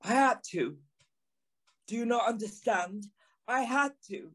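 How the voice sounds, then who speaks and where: A slow-speaking, haunted signalman. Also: very high voice, expressive, fairly bright tone, breathy edge, heavily acted. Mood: urgent, pleading. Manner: slow, deliberate, intense. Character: haunted male ex-journalist turned signalman, grave and anguished. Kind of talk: dramatic first-person audio drama narration.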